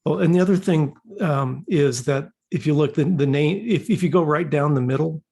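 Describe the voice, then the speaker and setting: Husky, creaky man explaining hesitantly. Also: fairly high pitch, normal speed, a little mellow. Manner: hesitant, repeating words as he searches for phrasing. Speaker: class participant presenting an example. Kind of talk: informal, unscripted explanation to a group.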